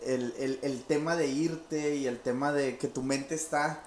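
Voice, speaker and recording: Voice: mid-range voice, steady tone, measured pace, slight background noise. A host asking interview questions in a casual, rambling way, searching for words. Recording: roomy echo.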